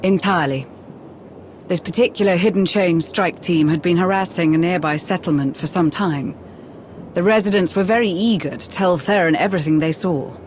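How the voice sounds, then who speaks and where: A woman's voice: lowish voice, normal speed, slight background noise, lightly acted. Composed, formal adviser played by a woman. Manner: precise, formal. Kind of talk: video game cutscene acting. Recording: loud music behind. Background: music.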